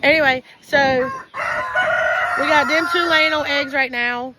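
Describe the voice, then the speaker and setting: Hoarse woman chatting by a chicken coop. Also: high voice, steady tone, unhurried. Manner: rambling, chatty, many "uh" fillers. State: hoarse, losing her voice. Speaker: backyard chicken keeper. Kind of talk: casual vlog chat. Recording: noisy outdoor farmyard recording. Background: chickens clucking and a rooster crowing.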